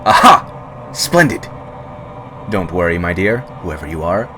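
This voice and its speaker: A man reading a first-person scary story aloud in a measured, wry, unhurried way. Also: low-pitched, very animated, background noise. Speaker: horror story reader. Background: background music.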